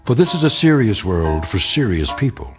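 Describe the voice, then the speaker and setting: A man delivering an ominous, deliberate scripted introduction to a radio drama. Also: fairly low pitch, big pitch swings, music in the background. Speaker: narrator.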